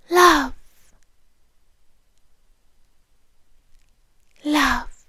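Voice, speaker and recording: Casting: woman as obsessive yandere monster girlfriend, British, high-pitched, unhurried, intimate ASMR delivery sinking into whispers. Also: no echo, studio quality. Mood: intense, obsessive. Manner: hushed, deliberate, dramatic pauses, slipping into whispers. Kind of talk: ASMR roleplay, intimate and slow.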